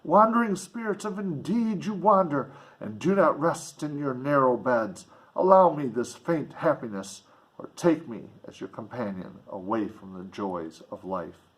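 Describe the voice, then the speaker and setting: A man's voice: medium pitch, animated, a little slow, lightly acted, rough recording. A man voicing an anguished, furious scientist in a storybook reading, with vehement, archaic declamation. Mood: anguished longing. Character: anguished, furious male scientist denouncing his creation.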